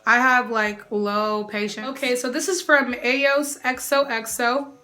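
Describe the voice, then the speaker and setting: A sassy young woman chatting straight to camera with a bright, ringing tone. She sits a little high in her range and keeps the banter lively as she answers viewer questions. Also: measured pace, faint music behind. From United States. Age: young adult. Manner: sassy, animated, often calls the viewer "girl". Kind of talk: casual Q&A vlog.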